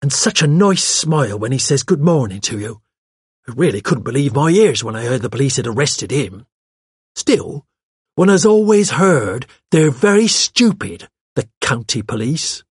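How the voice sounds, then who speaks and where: A man's voice: medium pitch, sing-song, normal speed, slightly rough, no echo, clean studio sound, voice pushed up. A man voicing a chatty, arch young woman with a gushing, pert, colloquial way of talking, as in an audiobook. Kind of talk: audiobook character voice.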